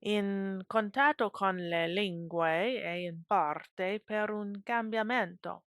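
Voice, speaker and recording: A woman's voice: mid-range voice, slow, fairly bright tone, no echo, studio quality. A woman reading the lines of an awkward, defensive man who answers hesitantly. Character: awkward, defensive educated man, easily flustered.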